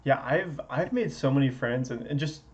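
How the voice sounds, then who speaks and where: Man, interview host, relaxed chatter, quick yeahs, dull lo-fi call sound. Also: medium pitch. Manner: friendly, laughs easily, quick agreeing yeahs. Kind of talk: casual interview chat.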